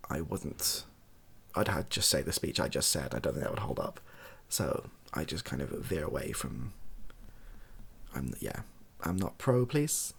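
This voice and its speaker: A young man speaking softly and breathily in a casual, rambling monologue, hesitant and self-deprecating as he gives his opinions. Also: a bass voice, sing-song, measured pace. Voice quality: soft, husky, breathy. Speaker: online video creator giving opinions.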